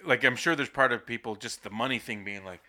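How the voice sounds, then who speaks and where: Rambling young man, bright voice. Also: mid-range voice. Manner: rambling, halting, swears often. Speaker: podcast co-host. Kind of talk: casual chat.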